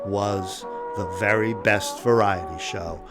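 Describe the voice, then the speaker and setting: A man's voice: fairly low pitch, steady tone, slow pace, loud music behind the voice. A man hosting and narrating from a prepared script. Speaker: host.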